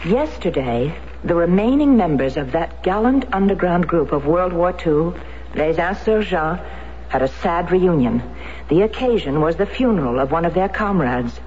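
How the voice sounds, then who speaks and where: A grieving wife demanding answers. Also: female voice, on the low side, darkish tone, a little hiss or hum. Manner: pressing, intense questioning. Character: grieving, persistent wife of a dead paratrooper. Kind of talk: 1970s radio drama acting. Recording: lo-fi old radio broadcast.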